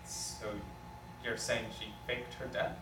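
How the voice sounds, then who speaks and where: A man's voice: medium pitch, little pitch movement, slow pace, sharp tone. A man playing a cautious, skeptical small-time lawyer in a table read, hesitant and questioning. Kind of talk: amateur script table read. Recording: echoey room, distant mic, noisy.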